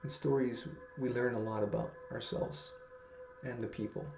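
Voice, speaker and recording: A young man talking reflectively to camera in a slow, halting, earnest way with long pauses. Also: mid-range voice, fairly even intonation, creaky. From Guam. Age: young adult. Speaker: storytelling vlogger. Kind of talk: reflective personal talk to camera. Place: small room at home, indoors. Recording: roomy echo, faint music.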